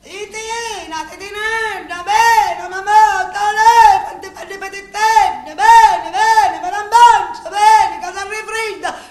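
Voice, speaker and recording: A woman's voice: slow, few pauses. An actress playing a distraught Sicilian mother crooning sing-song baby talk to her absent little girl in a radio drama. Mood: crazed tenderness. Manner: sing-song coaxing, loud and high. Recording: roomy echo, noisy background.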